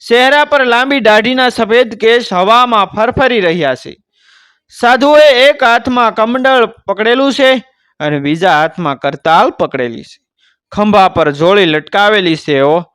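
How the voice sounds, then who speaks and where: High, clear-voiced Gujarati male storyteller. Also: a bit bright, no echo, clean studio sound. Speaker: devotional storyteller. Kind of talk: narrated moral folk tale, oral storytelling.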